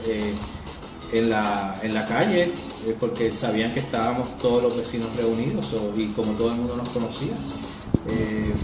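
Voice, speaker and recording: A Puerto Rican man in his forties, an actor answering interview questions, reminiscing with many hesitant pauses and fillers. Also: medium pitch. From Puerto Rico. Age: 40s. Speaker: actor guest. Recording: roomy echo with music behind.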